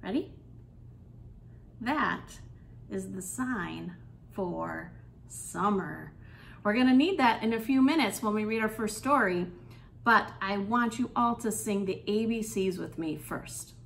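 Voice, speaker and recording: A woman hosting an interactive children's storytime, speaking in an encouraging, sing-song way and pausing with questions for young listeners. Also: mid-range voice, lively intonation, gravelly, reverberant, slight background noise. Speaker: children's storytime librarian and host. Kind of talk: interactive children's storytime, reading aloud and leading songs.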